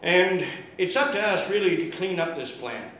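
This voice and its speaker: A man giving a loud, impassioned speech at an activist meeting, rallying the crowd with many fillers. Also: high voice, lively intonation, normal speed. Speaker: guest speaker at an activist meeting. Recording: very echoey room with faint noise.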